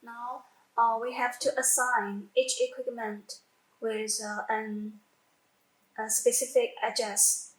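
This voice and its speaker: High-voiced woman in an echoey room. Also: flat delivery. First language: not English. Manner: halting, repeats and self-corrects. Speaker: product tutorial presenter. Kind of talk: unscripted step-by-step software tutorial. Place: indoor room, demonstrating at a computer screen.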